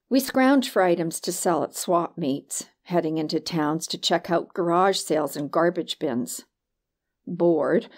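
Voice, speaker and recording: A woman reading a first-person short story as an audiobook narrator, with expressive, dramatic delivery. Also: on the low side.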